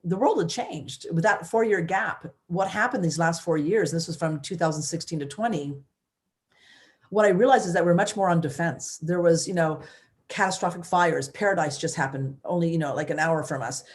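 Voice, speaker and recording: Woman in her 50s, loud voice, energetic, restarts often, casual interview talk. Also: fairly low pitch, normal speed. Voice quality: loud voice, big personality. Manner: energetic, many restarts and "you know". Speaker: guest, former mayor.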